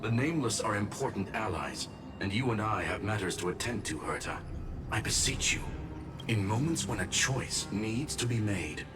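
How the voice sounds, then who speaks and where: Man acting a low, formal robot genius over loud music. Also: expressive, lightly acted. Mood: grave. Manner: formal, clipped announcements, measured. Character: formal, analytical male robot genius, courteous and grave. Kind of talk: video game story voice acting.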